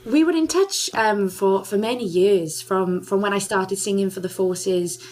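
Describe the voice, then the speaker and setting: A woman's voice: medium pitch, unhurried. A young adult woman guest on a radio interview, chatting with lots of laughter and filler "um"s. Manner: chatty, warm-hearted, laughs often, many "um"s. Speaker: guest singer.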